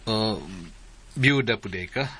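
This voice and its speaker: Casting: blunt, proud dad, Cebuano accent, sharp forceful tone, radio drama. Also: male voice, on the low side, slow pace, full character voice. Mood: softened, sheepish. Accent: Filipino, Cebuano. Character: indignant, forceful widowed father confronting another parent. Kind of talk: Filipino radio drama acting.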